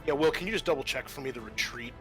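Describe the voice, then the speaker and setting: A man's voice: mid-range voice, rapid-fire. A man acting as game master in a tabletop roleplaying game, explaining rules casually and thinking aloud as he goes. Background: background music.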